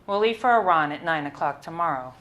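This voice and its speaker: A woman with a slightly low voice reads a personal narrative aloud as its writer. Her delivery is measured and expressive, shaped for a live literary reading, with an occasional stumble over a word. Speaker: writer reading own story.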